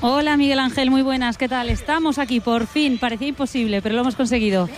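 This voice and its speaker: A woman's voice: high-pitched, fast, soft background music. A woman with an accent from Spain chatting live as a radio sports commentator. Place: open-air stadium commentary position.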